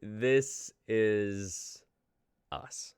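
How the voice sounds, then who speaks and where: A man's voice: on the low side, extremely slow, a little mellow, clear, resonant tone, no room sound, studio quality. A man in his twenties casually hosting a live-stream show in a jokey, informal way. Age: 20s.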